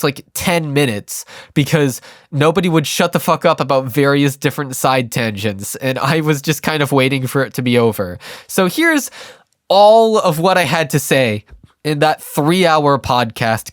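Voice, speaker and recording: A hoarse, congested young man ranting casually and bluntly to his audience, with profane, sarcastic asides. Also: on the high side, dry recording, studio quality. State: hoarse and congested from a sinus illness. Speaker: YouTube ranter. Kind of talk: casual rant to camera.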